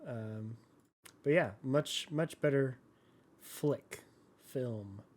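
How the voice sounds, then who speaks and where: A man co-hosting a podcast, giving casual opinions on films in halting, hesitant phrases. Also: mid-range voice, a little mellow. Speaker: podcast co-host. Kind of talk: casual movie discussion.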